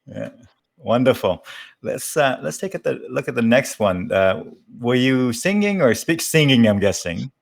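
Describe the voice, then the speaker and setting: A man hosts a relaxed, conversational interview. His voice sits at an average pitch with a noticeable creak and fry in it. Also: unhurried. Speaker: talk show host.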